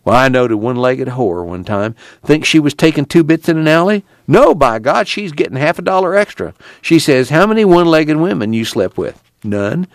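A man's voice: on the high side, expressive, moderate pace, few pauses, no room sound, pitched above the performer's own voice. A man voicing a brash, impatient young working man with folksy, blunt, profane rural speech in an audiobook. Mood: blunt, needling. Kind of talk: acted audiobook dialogue.

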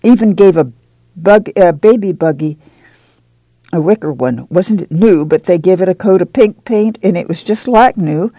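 A woman reading aloud voices an excited young pregnant farm girl who gushes in a rural drawl, raising her voice a little. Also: on the low side, no room sound, close to an everyday voice. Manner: gushing, rapid rural drawl.

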